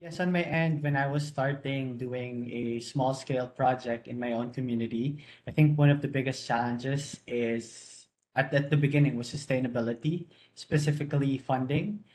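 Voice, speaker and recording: A man's voice: mid-range voice, steady tone, moderate pace, few pauses, darkish tone. A young man speaking earnestly as a panelist about leading a community project, fairly fluent with a few restarts. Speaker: youth project leader, panelist. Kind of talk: panel discussion.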